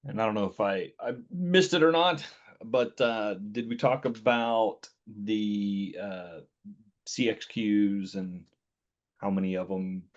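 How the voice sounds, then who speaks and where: A man's voice: lowish voice, slow. A man moderating a webinar, relaying questions in a friendly, lightly joking way. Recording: dull lo-fi audio.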